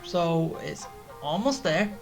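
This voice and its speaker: A game streamer giving casual commentary in a halting, self-deprecating way. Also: medium pitch, lively intonation, creaky. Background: game music.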